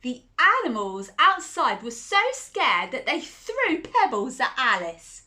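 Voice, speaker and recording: A woman's voice: high-pitched, big pitch swings, takes it slowly, bright, forceful tone. A woman reading a picture book aloud to children in a cozy, playful way, with chatty asides and laughs between the lines.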